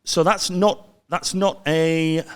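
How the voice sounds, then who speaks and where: A man's voice: on the high side, fairly even intonation, takes it slowly, smooth. A man hosting a podcast, interviewing in a casual, chatty way and often rephrasing his questions as he goes. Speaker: podcast host.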